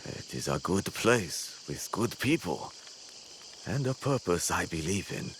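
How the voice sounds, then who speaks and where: A humble, steady man devoted to his cause in a video game. His deep voice is slow and earnest, with faint insect ambience around it. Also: a little hiss or hum, exaggerated voice. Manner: modest, earnest.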